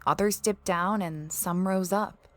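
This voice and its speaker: A woman's voice: lowish voice, fairly even intonation, unhurried, lightly acted. A woman playing a space explorer who recounts a strange expedition in a conversational, first-person audio drama style. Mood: reflective, curious. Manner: reflective, conversational storytelling.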